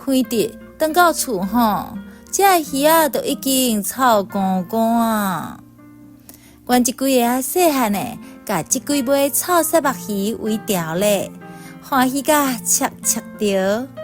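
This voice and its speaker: A woman's voice: a little high, animated, takes it slowly. A woman with a Taiwanese accent reading a personal essay as scripted storytelling narration. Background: soft instrumental music.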